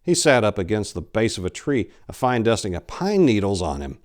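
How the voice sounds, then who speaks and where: A man in his forties giving a theatrical, deliberate dramatic reading of a horror story, with emphatic swells and hushed drops. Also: lowish voice, very animated. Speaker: author reading a short story. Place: indoor room lined with bookshelves. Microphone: lapel mic.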